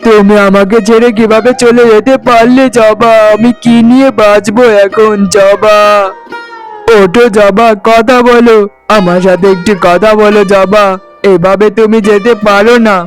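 A man's voice: sits high, steady tone, measured pace, soft background music. A man voicing a devoted, tender young village husband in a cartoon, earnest, emotional and pleading. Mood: grief-stricken. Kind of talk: cartoon voice acting in Bengali fairy tale.

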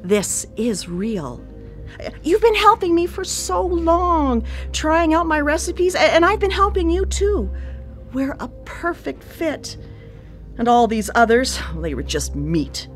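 Coolly menacing, lilting cannibal sister. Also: female voice, sits high, very animated, slow pace, faint music behind, exaggerated voice. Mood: calm, sinister. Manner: composed, coy, domineering. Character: controlling sister, cannibal cook, calm and menacing. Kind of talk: live radio play acting.

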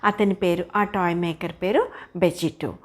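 A woman's voice: fairly low pitch, steady tone, a little slow. An older woman telling a children's story informally, explaining as she goes and putting questions to her listeners. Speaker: storyteller grandmother.

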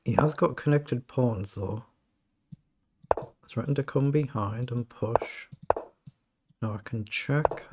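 Croaky middle-aged male streamer, gravelly, mid-pitched, thinks aloud over games; noisy home recording with clicks. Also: slow, pauses often. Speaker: chess streamer. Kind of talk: live game commentary.

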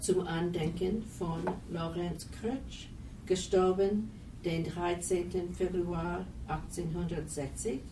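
A woman reciting memorial verse in a solemn, deliberate way. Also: fairly low pitch, warm tone. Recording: roomy, distant mic, faint noise.

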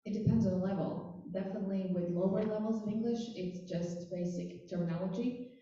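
A woman's voice: mid-range voice, fairly even intonation, brisk, dark tone. Woman speaking in an echoey hall. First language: Latvian. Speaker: biology teacher, panelist. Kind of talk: panel discussion answers. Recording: roomy hall, distant mic, dull sound.

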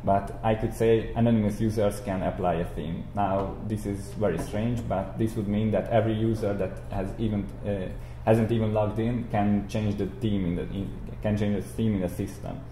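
A young man giving an explanatory software tutorial, haltingly, repeating and rephrasing himself as he goes. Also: on the low side, steady tone. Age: young adult. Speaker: tutorial instructor. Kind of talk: explanatory software screencast lecture. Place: indoor room, speaking beside a screen recording. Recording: roomy echo, audible background noise.

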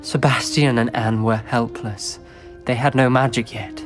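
An anxious, principled British schoolboy wizard, acted by a young man for a video game. He speaks gravely and precisely, with reproach in his delivery. His voice is mid-pitched, over faint music. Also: fairly even intonation, a little slow, lightly acted. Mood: grave, worried. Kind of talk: video game cutscene acting.

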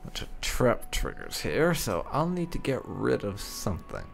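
A man's voice: mid-range voice, wildly swooping pitch, a little slow, vocal fry, soft background music. A man giving gameplay commentary on a role-playing game, speaking bluntly and with some swearing. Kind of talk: gameplay commentary, partly in character.

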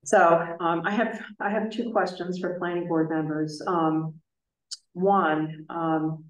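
A middle-aged woman chairs a town planning board in a meeting room, her low voice slow and deliberate. she weighs each point with lots of um's. the sound is roomy and echoey, picked up from well across the room. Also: steady tone, recorded from a distance, highs cut off. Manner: measured and careful, many filler pauses.

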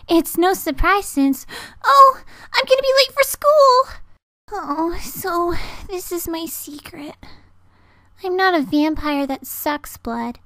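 A woman playing a flustered, easily embarrassed teenage vampire schoolgirl in an amateur anime dub audition, excitable and dramatic. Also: high voice, dry recording, studio quality.

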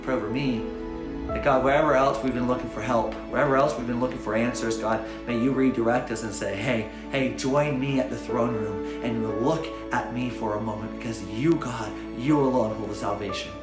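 A man in his thirties giving a short sermon straight to camera, earnest and animated, dropping to a soft hush when he prays. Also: medium pitch, a little fast. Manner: earnest, animated, swings between loud urging and soft prayer. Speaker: pastor. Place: bright home room with windows, indoors. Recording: echoey room, faint music behind.

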